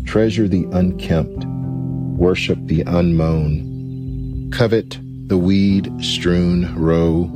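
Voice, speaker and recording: Deep, slow man reading poetry. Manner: deliberate, lingering on each phrase. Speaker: poet reading his own poem. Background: soft guitar music.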